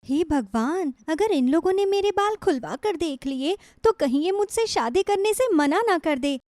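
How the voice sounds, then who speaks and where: A woman's voice: extremely high pitch, animated, normal speed, a little mellow, no room sound, clean studio sound. A woman voicing a sad, anxious, earnest young woman in a moral story cartoon.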